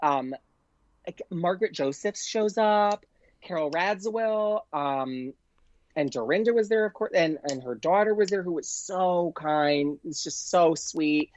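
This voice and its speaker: A man's voice: sits high, measured pace, a little mellow. A man, a comedian, chatting casually as a podcast guest in a gushing, effusive way, over a dull lo-fi connection. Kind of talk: casual interview chat.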